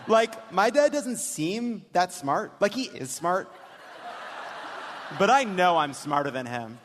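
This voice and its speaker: A candid, jaded daughter, read out by a high-voiced man, airs her scorn in dry, deliberate phrases. It is a comic stage reading. The big hall adds long reverb and a little noise. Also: sing-song, exaggerated voice. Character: politician's adult daughter texting, candid, jaded, dryly scornful. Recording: reverberant theater, some noise.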